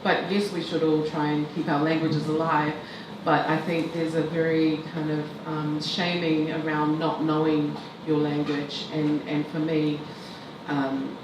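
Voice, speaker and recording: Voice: mid-range voice, level tone, unhurried. A filmmaker of Tongan heritage speaking reflectively and loosely on a panel. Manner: reflective, loose, laughs while talking. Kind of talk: panel discussion answer. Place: event hall. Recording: echoey hall, distant mic, noise.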